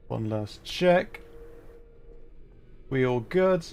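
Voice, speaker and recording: A man's voice: on the high side, a little mellow. A man hosting a casual gaming livestream, chatting in a flustered, apologetic and self-deprecating way. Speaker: livestream host playing a video game. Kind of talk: casual livestream chat while troubleshooting.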